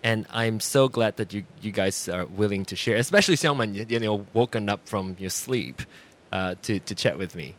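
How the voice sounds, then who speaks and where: Animated man hosting a casual podcast. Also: lowish voice, normal speed. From Asia. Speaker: podcast host. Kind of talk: casual conversational interview.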